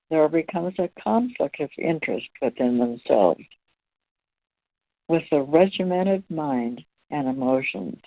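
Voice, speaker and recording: A woman with a notably low voice leads a spiritual discussion. She speaks very slowly and deliberately, phrase by phrase. The sound is thin and band-limited, like an online call. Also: no room sound, phone-quality sound. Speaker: presenter leading a spiritual discussion.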